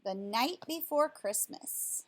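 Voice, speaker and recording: A woman reading a picture book in verse aloud as an expressive storyteller. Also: fairly high pitch, slow pace, slight background noise. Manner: expressive, addresses listeners in asides.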